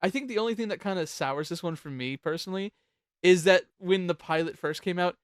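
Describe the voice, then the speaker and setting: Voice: mid-range voice, brisk, smooth, dry recording, studio quality. A host chatting casually while ranking songs on a panel show, jokey, profane and talkative.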